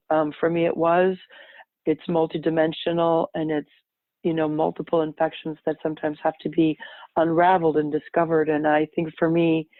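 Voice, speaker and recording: A woman's voice: sits low, no echo. A middle-aged woman whose first language is French tells her own story as a podcast guest in a reflective, rambling way, often correcting herself mid-sentence. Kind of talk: casual personal storytelling. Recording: tinny phone-line sound, dry.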